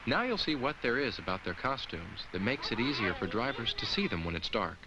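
An actor playing a friendly, earnest talking jack-o'-lantern, gently instructing children in the scripted style of a 1980s educational film. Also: male voice, mid-range voice, big pitch swings, fairly quick, very noisy, close to an everyday voice. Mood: kindly, instructive.

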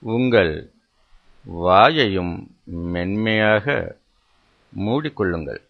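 Slow, low-voiced man guiding meditation. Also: lively intonation. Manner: measured, instructional, repeats phrases with pauses. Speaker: meditation guide. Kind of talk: guided meditation instruction. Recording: dull, muffled lo-fi sound.

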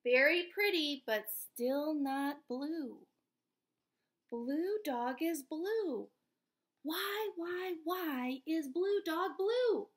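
A woman in her thirties or forties reading a picture book aloud to young children, sing-song and expressive, often asking questions. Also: high-pitched, very slow. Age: 30s or 40s. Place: small indoor room at home.

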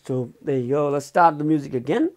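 A man's voice: fairly high pitch, fairly quick. A man giving a casual, unscripted product demonstration as a reviewer, repeating and rephrasing as he explains. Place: small indoor room at a desk.

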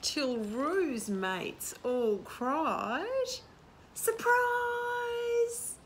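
A woman's voice: mid-range voice, takes it slowly, creaky, slight background noise. A woman in her sixties reading a picture book to young children in a sing-song, questioning way. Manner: animated, sing-song, asking the listener questions. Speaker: children's storytime host. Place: outdoor garden patio. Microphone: camera a short distance away.

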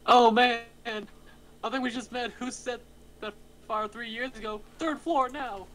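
A man's voice: extremely high pitch, fairly even intonation, a little slow, fairly bright tone, slight background noise. A man playing an earnest monster investigator in an amateur script read. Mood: urgent.

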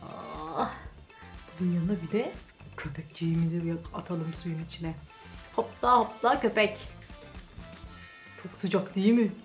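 A woman's voice: on the low side, a little slow, long pauses. A woman hosting children's toy play, narrating playfully and talking to the toys in sing-song encouragement. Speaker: children's channel host. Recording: music under the voice. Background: music.

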